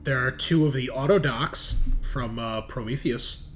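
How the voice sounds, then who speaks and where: Male game master, casual improvised narration, medium pitch, slight background noise. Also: a little slow, a bit bright. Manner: casual, sweary, explanatory.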